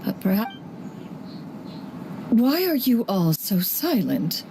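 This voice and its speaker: A woman playing a proud, aloof immortal elder mentor in a video game, speaking formally and with measured, archaic dignity. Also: medium pitch, sing-song, vocal fry, dark tone, slight background noise, full character voice. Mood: aloof, dignified. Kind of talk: video game character acting.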